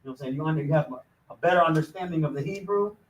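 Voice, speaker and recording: Male American religious class instructor. Slightly high voice, emphatic and conversational, peppered with verbal tags, picked up from a distance. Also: racing, slight background noise. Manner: emphatic, with frequent "you know what I'm saying". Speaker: religious group officer teaching a Hebrew class. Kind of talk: informal religious language lesson to camera.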